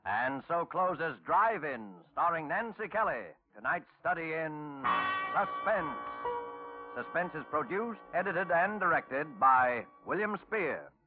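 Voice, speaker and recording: A man reading scripted program credits in a formal, measured 1940s radio announcer style. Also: fairly high pitch, lively intonation, soft background music. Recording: tinny 1940s radio recording.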